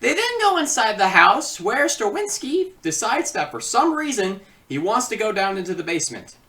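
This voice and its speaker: A woman's voice: mid-range voice, sing-song, normal speed, bright, forceful tone, distant mic. A woman giving a casual, comedic review with sarcastic, rambling asides. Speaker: video reviewer.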